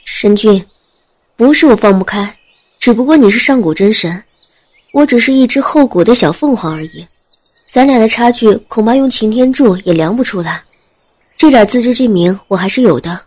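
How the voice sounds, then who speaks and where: A high, animated young phoenix, played by a woman narrator in a fantasy audiobook. The phoenix is humble about its lowly rank, yet frank and quick to protest. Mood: sheepish protest. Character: young phoenix, frank, self-deprecating, lowly before a god. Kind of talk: audiobook character acting.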